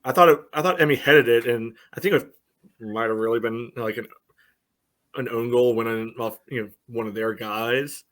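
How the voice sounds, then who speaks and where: A man's voice: mid-range voice, normal speed, a bit bright. A man giving casual soccer analysis on a podcast, breaking his thoughts into short phrases padded with filler. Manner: peppers phrases with "you know". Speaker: podcast host and soccer supporter. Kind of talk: casual sports podcast discussion.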